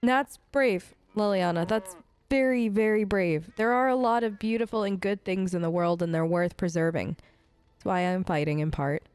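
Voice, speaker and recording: A woman's voice: medium pitch, normal speed, a little mellow, smooth. A woman improvising in-character replies for a role-play let's play, chatty, casual and a little hesitant. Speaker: gaming host, role-playing as player character. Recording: game music under voice. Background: game music.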